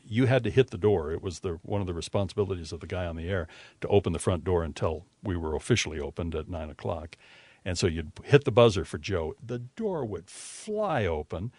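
A man's voice: low-pitched, a little mellow, no room sound. An American man, a former disc jockey, telling a vivid anecdote casually in an interview.

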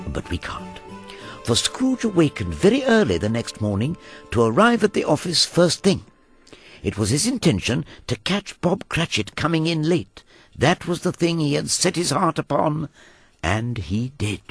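Narrator for christmas radio drama, lighter voice, crackly, theatrical, music underneath. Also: male voice, a little high, gravelly, heavily acted. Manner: theatrical, with weighted pauses. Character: genial, dramatic male storyteller of a Christmas tale.

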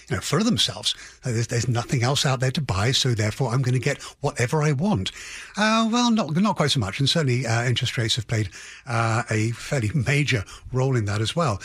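A man speaking as an expert guest in a radio interview, analysing in a halting way with qualifiers and emphatic restatements. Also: medium pitch, a bit bright, breathy edge, dry recording, clean studio sound. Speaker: housing economist guest.

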